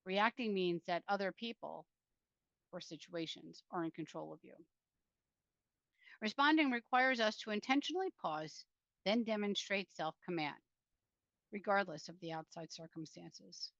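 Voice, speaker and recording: Woman, author reading aloud, earnest, deliberate, direct address, medium pitch, slightly lo-fi sound. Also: gravelly, a bit bright, dry recording. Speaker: author reading from own book. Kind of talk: self-help book reading with spoken introduction.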